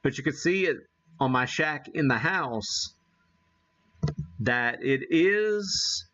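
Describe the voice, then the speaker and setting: A man hosting a casual live demo, thinking aloud in a halting, folksy, self-deprecating way. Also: medium pitch, animated, sharp tone. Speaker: host of a live ham radio demonstration. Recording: dull, muffled lo-fi sound.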